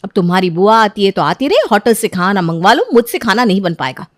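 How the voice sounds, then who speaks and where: A woman voicing a careless, defensive young wife in an animated story. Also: mid-range voice, a little fast, dry recording, studio quality, natural voice. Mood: defiant. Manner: defensive, argumentative.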